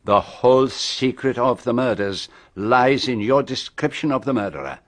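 An actor as a confident, formal Belgian detective. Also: male voice, medium pitch, animated, natural voice. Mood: assured, philosophical. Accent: French-speaking Belgian. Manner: deliberate, formal, theatrical. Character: confident middle-aged Belgian male detective, courteous and commanding. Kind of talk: radio drama acting.

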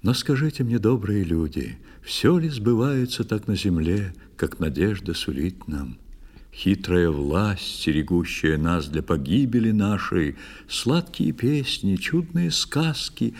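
A man narrating a poetic tale theatrically, in a measured, expressive storytelling manner. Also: sits low. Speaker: narrator of a children's musical audio play. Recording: long studio reverb, music underneath. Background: music.

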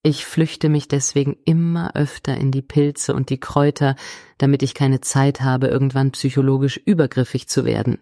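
Woman acting a mellow, low-key earnest psychologist. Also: flat delivery, normal speed, dry recording, clean studio sound. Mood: concerned. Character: earnest female psychologist, blissful commune convert, quietly worried. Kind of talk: mock-documentary radio play interview.

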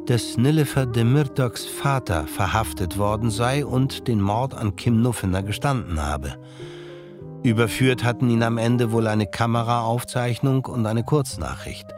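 A man narrating an audio drama from a script. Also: medium pitch. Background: music.

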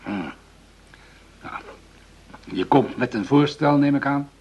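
An actor playing a pragmatic, patronizing senior police commissioner in a radio drama, dismissive and mildly impatient. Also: male voice, mid-range voice, slow pace, darkish tone, breathy edge. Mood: skeptical, dismissive. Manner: dismissive, patronizing, hesitating with "nou". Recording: slightly dull, lo-fi radio recording.

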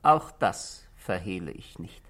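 A man playing a bitter but controlled husband in a 1960s German radio drama, speaking in formal, deliberate phrases. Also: low voice, animated, fairly bright tone, heavily acted. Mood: guarded, cool. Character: controlled, bitter married man confessing to police.

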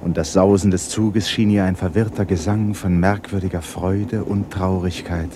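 A man narrating a literary radio drama in a solemn, measured storytelling manner. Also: deep voice, fairly even intonation, background noise.

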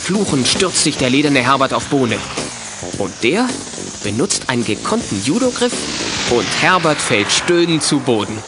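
A man's voice: mid-range voice, sing-song, moderate pace. A man with a mid-range voice narrates a children's audio drama. The delivery is scripted storytelling, with some music in the background.

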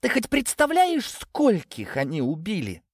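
A man's voice: lowish voice, big pitch swings, moderate pace, no room sound, studio quality. A man voicing a defiant young adult daughter, hurt and bitter, with an emotional, biting delivery in an audiobook. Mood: sarcastic, threatening.

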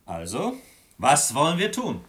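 An actor in a German radio play playing a challenging, sardonic interior minister who speaks in a pointed, sceptical way. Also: male voice, on the high side, huge pitch swings, a little slow, a bit bright, exaggerated voice. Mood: insistent.

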